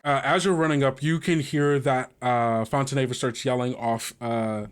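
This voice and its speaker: A man narrating a tabletop game as game master, improvising vivid descriptions in halting, pieced-together phrases. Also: medium pitch, steady tone, clean tone.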